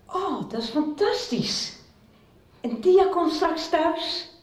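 A woman's voice: very high voice, expressive, slow, darkish tone, exaggerated voice. An actress playing a cheerful, bustling mother in a Dutch radio soap. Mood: delighted. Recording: echoey room.

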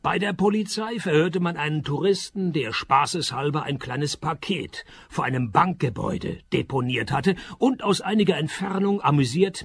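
A gravelly, fairly high male voice with animated pitch, that of a cynical private eye. He is dry and sarcastic, in the manner of a radio play. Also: no echo. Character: cynical, deadpan male private detective narrator. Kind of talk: radio play narration and dialogue.

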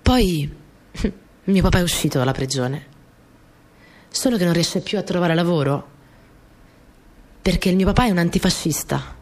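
A woman's voice: on the low side, lively intonation, moderate pace, long pauses, a little mellow. An actress playing a young peasant girl in a radio monologue, telling her story in a naive, colloquial way, repeating phrases for emphasis. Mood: tender, grave. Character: young Italian peasant girl, earnest, plainspoken, stubborn storyteller. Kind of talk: dramatic monologue in a radio play. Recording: music behind the voice.

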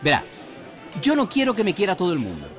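Contrarian blind gentleman, very animated. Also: sits high, quick pace, exaggerated voice. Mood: defiant. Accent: Castilian Spanish. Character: polite, stubbornly contrarian blind man. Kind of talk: surreal radio drama dialogue. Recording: music under the dialogue.

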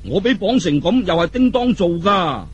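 A man's voice: high voice, expressive, normal speed, slightly rough, dull audio, soft background music. A man voicing a naive, earnest young martial-arts hero in a storybook telling, guileless and straightforward.

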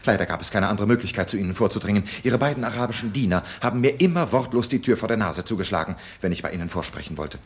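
A man's voice: fairly low pitch, animated, very fast, few pauses, slightly rough. A young actor playing a cheeky, scheming young man with mock-polite manners in a radio drama. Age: young adult.

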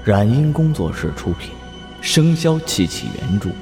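An ominous narrator of a horror radio drama. His low voice is solemn and deliberate, laying out deadly rules over loud music. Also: sing-song. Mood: announcing.

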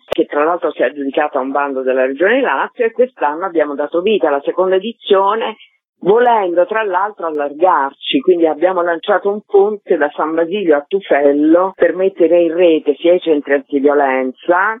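A woman's voice: low voice, no echo. A woman guest in a radio interview, explaining articulately in long sentences as a theatre director. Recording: dry, narrow tinny phone line.

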